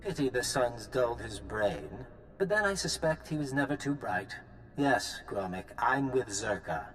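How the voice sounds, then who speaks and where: A man's voice: medium pitch, animated, normal speed, a bit bright, lightly acted, poor audio. An actor playing a smug, menacing corporate villain in a video game, sardonic and mock-polite as he taunts. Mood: condescending. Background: game music.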